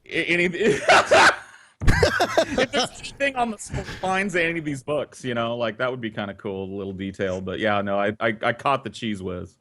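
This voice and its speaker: A man's voice: medium pitch, lively intonation, normal speed, a bit bright, a little hiss or hum. A man hosting a casual talk show, telling stories and bantering with crude, profane teasing. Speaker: storytelling talk show host. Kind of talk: casual group banter and storytelling.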